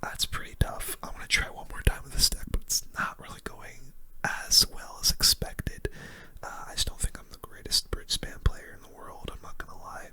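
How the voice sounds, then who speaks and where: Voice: moderate pace, bright, forceful tone. Whispering ASMR gamer. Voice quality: breathy unvoiced whisper. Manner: casual, mildly grumbling, slangy. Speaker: gaming video host. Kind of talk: whispered ASMR gaming commentary.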